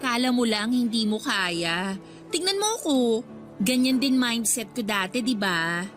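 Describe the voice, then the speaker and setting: A woman's voice: fairly high pitch, animated, unhurried, fairly bright tone, soft background music. A woman playing an ambitious, driven schoolgirl student leader in a radio drama, talking persuasively and insistently.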